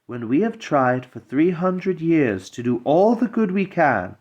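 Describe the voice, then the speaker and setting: A man's voice: a little high, sing-song, measured pace, darkish tone, highs cut off, pitched above the performer's own voice. A man voicing a serene, ethereal female spirit of the air in a storybook, explaining things solemnly. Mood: solemn, hopeful.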